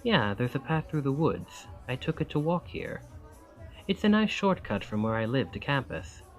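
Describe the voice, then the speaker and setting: Charming, lively young male student, acted by a man. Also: medium pitch, normal speed, soft background music, natural voice, poor audio. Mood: friendly, coaxing.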